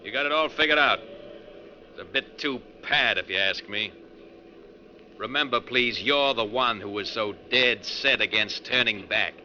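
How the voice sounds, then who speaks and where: An actor playing a surly, defensive bus passenger in a 1940s radio drama, curt and gruffly dismissive. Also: male voice, mid-range voice, a little slow, bright, forceful tone. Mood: scornful. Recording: dull, noisy 1940s radio recording.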